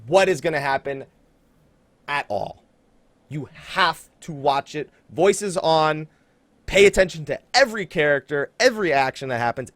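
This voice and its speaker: A man's voice: on the high side, dry recording. A man hosting a casual gaming podcast, talking emphatically and straight to the listeners to hype things up. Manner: emphatic, hyping, talks directly to listeners. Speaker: podcast host.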